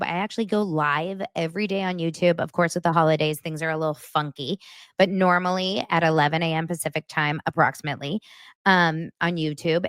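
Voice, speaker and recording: A woman who is a podcast guest and hosts her own daily show, chatting casually and telling gossip stories in an animated way. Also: fairly low pitch, clear, resonant tone, no room sound. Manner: animated storyteller who acts out quotes.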